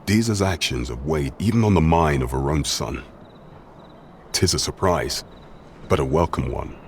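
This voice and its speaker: An actor playing a grave, courteous royal loyalist in a fantasy video game, speaking in formal, measured, archaic courtly phrases. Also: male voice, rumbling low, darkish tone, slight background noise. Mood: pensive, approving. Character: grave, courteous male royal loyalist plotting against the regent.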